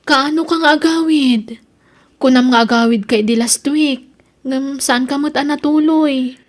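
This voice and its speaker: A woman's voice: high voice, unhurried. A woman acting in a family drama as an anxious, pregnant young fiancée, plaintive and conversational.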